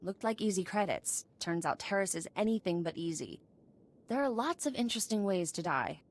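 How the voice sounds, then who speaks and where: A woman's voice: mid-range voice, animated, normal speed, close to an everyday voice. A woman playing a tough, sardonic smuggler running a warehouse in a video game, blunt and wry. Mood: businesslike.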